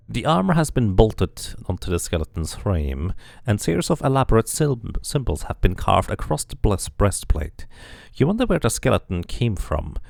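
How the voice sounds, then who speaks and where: A man's voice: fairly low pitch, vocal fry, a little mellow, no room sound, clean studio sound. A man streaming a video game, reading its text aloud like a narrator and muttering casual asides to himself.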